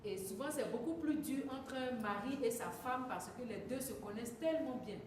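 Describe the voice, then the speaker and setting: A woman interprets a sermon phrase by phrase, often correcting herself and filling gaps with hesitations. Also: high voice. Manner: quick, self-correcting, hesitant fillers. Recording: echoey hall from far off, noisy.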